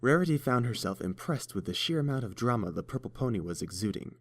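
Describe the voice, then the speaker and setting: A man's voice: mid-range voice, animated, fast, no echo, clean studio sound. A man reading scripted story narration as the narrator.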